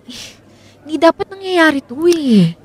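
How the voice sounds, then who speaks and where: An actress playing an anxious, defensive wife in a radio drama, with a Filipino accent. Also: female voice, high voice, big pitch swings, moderate pace. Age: 30s. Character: anxious, defensive wife in her thirties, rattled by her ex.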